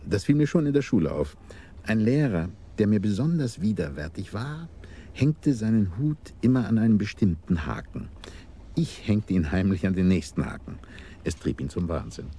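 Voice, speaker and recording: An actor playing a cultured, self-satisfied schemer who talks with unhurried politeness in a German radio crime drama. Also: male voice, fairly low pitch, warm tone, full character voice. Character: sly, cultured male schemer, self-styled keeper of order. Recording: clearly noisy 1980s radio drama recording.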